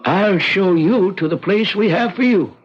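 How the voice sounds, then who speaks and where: A man's voice: high-pitched, a little slow, breathy edge. An actor playing a courteous but sinister old man, speaking formally and cryptically in an old-time radio mystery. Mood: quietly menacing. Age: older. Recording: muffled, noisy vintage radio recording.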